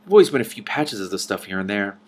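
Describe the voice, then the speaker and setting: A man's voice: lowish voice, very animated, very fast. A man voicing an earnest, puzzled forest king who questions things and sounds easily surprised, in a storybook reading. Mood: concerned, questioning.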